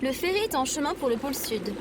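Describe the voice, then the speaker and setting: A woman's voice: sits high, very animated, fast, heavy background noise. A woman playing an earnest, curious and naive female AI droid in an audio drama. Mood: eager, curious.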